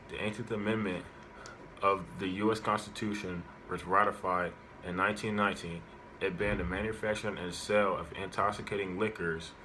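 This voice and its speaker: Low-pitched man reading an informative speech. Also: bright, forceful tone, slight background noise, rough recording. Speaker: student speaker giving an informative speech. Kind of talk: prepared informative speech, read aloud.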